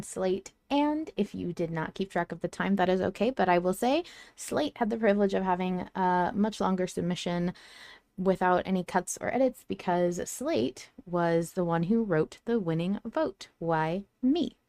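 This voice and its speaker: A woman's voice: mid-range voice, moderate pace, warm tone, dry recording, studio quality. A woman hosting a casual fan podcast, talking in an effusive, gushing way. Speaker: podcast host.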